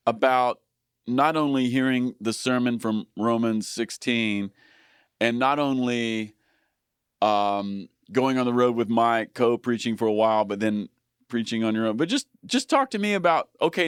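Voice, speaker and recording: A man's voice: mid-range voice, normal speed, smooth, no echo, studio quality. A man in his forties hosting a podcast interview, earnest and encouraging, asking long wordy questions. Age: 40s. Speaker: podcast host.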